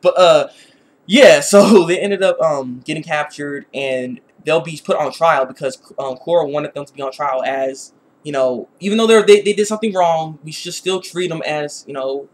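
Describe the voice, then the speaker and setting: A man giving a casual, rambling episode review in an animated, conversational way, often repeating his phrases. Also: on the high side, moderate pace. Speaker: YouTube reviewer.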